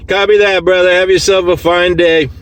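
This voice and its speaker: A slightly high-voiced man makes casual CB radio calls from a vehicle. He speaks in clipped operator lingo, with clear radio and vehicle noise around him. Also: flat delivery, moderate pace. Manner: clipped radio lingo, repeats call sign. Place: inside a vehicle, mobile unit. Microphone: handheld phone recording in the vehicle. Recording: noisy phone recording of CB radio session.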